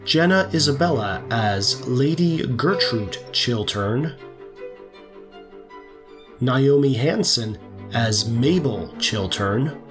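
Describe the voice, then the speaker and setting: A man's voice: mid-range voice, expressive, takes it slowly, long pauses. A man reading scripted credits as the announcer of an audio drama series. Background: music with violin.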